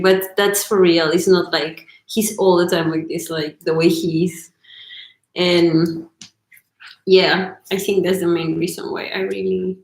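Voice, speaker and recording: A woman from Peru whose first language is Spanish, speaking English with a Peruvian accent. She chats casually as a guest, giggling and pausing to search for words. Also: lowish voice, creaky, echoey room. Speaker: guest, host's girlfriend. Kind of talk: casual chat.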